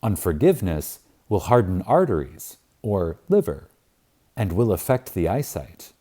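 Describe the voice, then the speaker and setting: Male audiobook reader, deep and unhurried, reverent and emphatic, lets each pause land. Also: sing-song, warm tone, husky. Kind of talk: reading a spiritual self-help book aloud.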